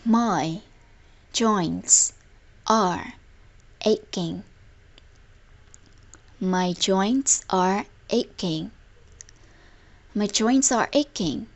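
A woman teaching a language in scripted narration, enunciating example phrases deliberately, word by word. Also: medium pitch.

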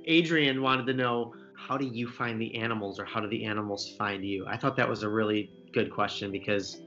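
A man's voice: lowish voice, steady tone, a little fast, pushed and bright. Stammering man hosting a lo-fi call. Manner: enthusiastic, stammering restarts. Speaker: host. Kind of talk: casual video call interview. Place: home room. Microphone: over-ear headphones with desk mic. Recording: dull lo-fi call audio, faint music.

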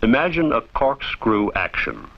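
A man reading scripted instructional narration for a training film in a formal, measured, didactic way, on an old film soundtrack. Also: medium pitch, big pitch swings, creaky, dull audio.

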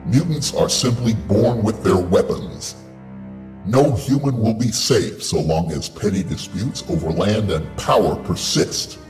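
An actor playing a giant, menacing robot overlord in an audio drama, speaking coldly and slowly. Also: male voice, lowish voice, expressive, darkish tone, echoey room, soft background music. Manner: cold, slow and menacing.

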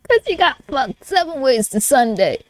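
A woman streamer with a very lively, swooping delivery reacts live in a casual way. She sounds flustered and gushing, giggly and free with swearing, and the recording is dry and close. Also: high-pitched, moderate pace, dry recording. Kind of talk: live reaction with casual commentary and reading aloud.

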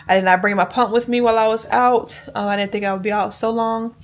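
A young woman talks straight to the camera she holds at arm's length. She chats loosely with her viewers and rambles from one thing to the next. Her voice sits at a middle pitch for a woman. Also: steady tone, quick pace. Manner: chatty, rambling, addresses viewers as y'all. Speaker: vlogger. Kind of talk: casual chat to camera. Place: home bedroom and a store. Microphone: handheld camera at arm's length.

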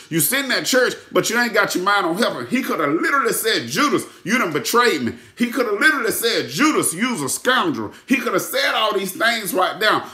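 Emphatic preacher. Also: male voice, sits high. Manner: emphatic, repeats phrases, sudden shouts and hushed asides. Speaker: pastor. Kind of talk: sermon. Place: room at home with ceiling lights. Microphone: phone camera at arm's length. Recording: echoey room.

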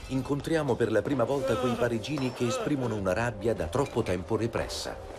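A man reading scripted documentary narration in a measured, even delivery. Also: medium pitch. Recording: voice-over with music underneath.

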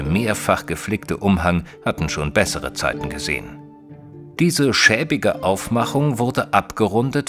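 An actor playing a detective's companion, narrating an audio drama in a measured, literary, descriptive way. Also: male voice, low-pitched. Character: male companion of a detective, narrating in first person.

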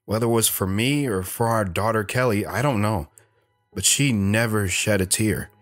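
A man's voice: fairly low pitch, normal speed, dry recording, studio quality, faint music behind, lightly acted. A man playing an earnest, wistful retired soldier who tells his life story with restraint in an audio drama. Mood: somber, reflective.

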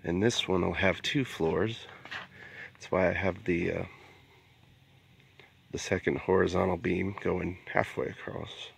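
A man's voice: low voice, fairly even intonation, a little slow, gravelly, pauses often, slight background noise. A man giving a casual explanatory vlog narration as an amateur builder, recorded outdoors on a handheld camera. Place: outdoors in a wooded yard at a building site. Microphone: handheld phone camera, speaker behind it.

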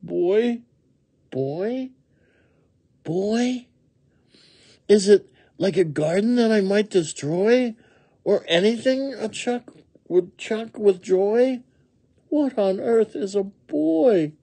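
Guy doing a fretful storybook woodchuck, way up high, fussy and lost in thought. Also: lively intonation, extremely slow, creaky, pauses often, dark tone, husky, full character voice. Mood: amused, puzzled. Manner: fretful, musing. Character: fussy, moaning, absent-minded male woodchuck lost in arithmetic.

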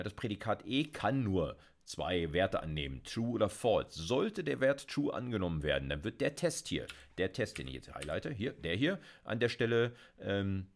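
Middle-aged guy doing a video lecture, low voice that jumps around a lot, lots of okays and ähs. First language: German. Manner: chatty, many fillers like okay and äh. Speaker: university lecturer. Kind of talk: recorded video lecture on programming. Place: small dark room at a desk.

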